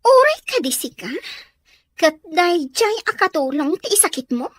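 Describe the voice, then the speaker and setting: A woman's voice: very high-pitched, very animated, normal speed, a bit bright, no echo, studio quality, exaggerated voice. A woman playing a spoiled, quarrelsome young schoolgirl in an Ilocano audio drama, snappy and rude. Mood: snappy, scornful. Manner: snappy, confrontational.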